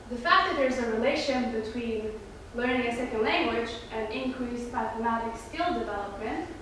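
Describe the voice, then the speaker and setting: A woman's voice: high voice, a bit bright. A woman born in India, whose first language is Hindi, giving a persuasive class speech and putting questions to her classmates. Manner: addresses the audience with questions. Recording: echoey room from far off, faint noise.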